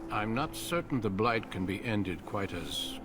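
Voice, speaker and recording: Brief: a grave veteran warrior, low and measured, game music clear behind. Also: male voice, animated. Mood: solemn. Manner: measured, solemn. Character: grave, seasoned older male warrior leader.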